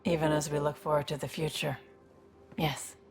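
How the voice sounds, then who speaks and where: An actress playing a tough, streetwise woman in a video game, blunt and reflective, with a gravelly voice. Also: low-pitched, flat delivery, moderate pace, over music. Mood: reflective, hopeful. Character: tough, streetwise woman seeking a fresh, honest start.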